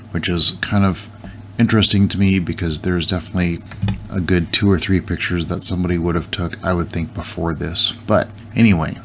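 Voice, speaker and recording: A middle-aged man with a very low voice talks through a timeline at an unhurried pace, thinking aloud and correcting himself now and then. A faint hiss sits under the voice-over. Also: a bit bright. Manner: loose, thinking aloud with restarts. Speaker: channel host. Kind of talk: casual explainer over screen recording.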